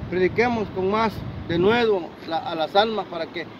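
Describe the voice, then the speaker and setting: A man's voice: high-pitched, moderate pace. A middle-aged man preaching fervently, answering questions outdoors in a parking lot, recorded on a handheld phone. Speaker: street preacher. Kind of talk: street interview answers. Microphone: phone camera held by interviewer. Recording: dull phone audio with traffic noise. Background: traffic noise.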